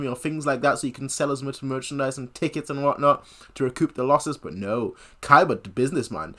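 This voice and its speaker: A man giving casual, rambling reaction commentary as a host, breaking into excited exclamations. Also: mid-range voice.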